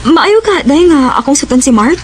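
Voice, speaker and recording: A woman's voice: high voice, lively intonation, normal speed, a little hiss or hum. A woman playing a shy, playful schoolgirl in a Cebuano radio drama, with a Cebuano Filipino accent. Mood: bashful, resistant. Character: shy, playful teenage girl student being courted.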